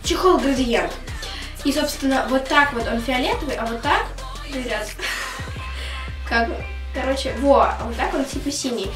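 High-pitched young teenage girl vlogger, roomy sound. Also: unhurried, long pauses. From Russia. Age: 13 years old. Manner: informal, rambling, girlish teenage talk. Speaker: video blogger. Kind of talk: casual chatty vlog to camera. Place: small attic room at home. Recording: roomy echo, distant mic, music behind. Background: background music.

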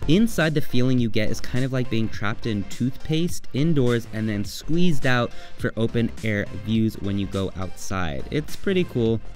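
Man, 30s, from the United States, travel vlogger, chatty, upbeat, handheld camera, clear music behind. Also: medium pitch, lively intonation, moderate pace, hardly any pauses, a little mellow. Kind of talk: travel vlog narration and on-camera talk. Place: outdoors at a large expo site at night. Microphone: phone or camera held at arm's length.

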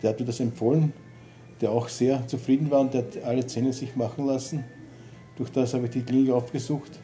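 Middle-aged man from Lower Austria, very dark mellow voice, patient testimonial, faint music. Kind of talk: interview testimonial. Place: office, indoors.

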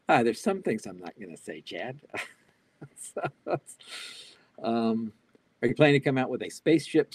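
An older man with a husky voice that sits a bit low, answering questions live over a video call. He reads each question out, then talks it through off the cuff with plenty of "um"s and restarts. The sound comes through an earbud mic in a small home office. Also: darkish tone. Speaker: keynote speaker answering audience questions. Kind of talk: live online Q&A. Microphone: wired earbud headset on a video call.

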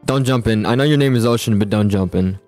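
A young man improvising a school roleplay in a video game, talking in an excitable, slangy way. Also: fairly low pitch, fast talker, dry recording, faint music behind. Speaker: host and player in a school roleplay. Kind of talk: casual improvised gaming roleplay chat.

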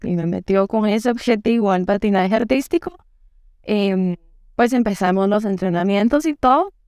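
A woman in her thirties from Guatemala, calmly telling her story as an interview guest. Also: mid-range voice, moderate pace, clear, resonant tone, dry recording, clean studio sound. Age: 30s. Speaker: guest, figure skating coach. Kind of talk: interview answers. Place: studio set. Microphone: lapel mic.

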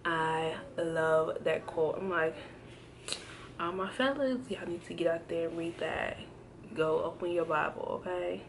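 Young woman in her twenties, slow unhurried delivery, lowish voice, hesitant and chatty, faint room noise. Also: rough recording. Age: 20s. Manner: relaxed, hesitant, many ums and restarts. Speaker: vlogger. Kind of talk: casual tag video chat. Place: indoors at home, in front of a backdrop. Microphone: camera framed close, seated.

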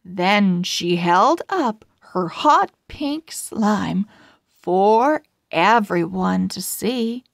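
A woman reading a children's storybook aloud in an expressive, deliberate way. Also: mid-range voice, gravelly, dry recording, studio quality. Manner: expressive, deliberate word-by-word delivery. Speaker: storybook reader. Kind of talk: children's read-aloud narration.

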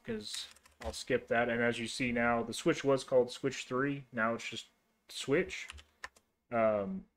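A man hosting a casual networking tutorial, talking through commands in a relaxed way with frequent ums and restarts while typing. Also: mid-range voice. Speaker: host of a networking tutorial channel. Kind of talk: casual screen-recorded tech tutorial.

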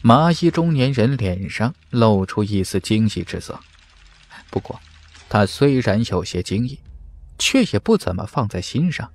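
A man's voice: fairly low pitch, very animated, measured pace. A man reading a fantasy novel as dramatic scripted narration, with theatrical, emphatic storytelling. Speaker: audiobook narrator. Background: background music.

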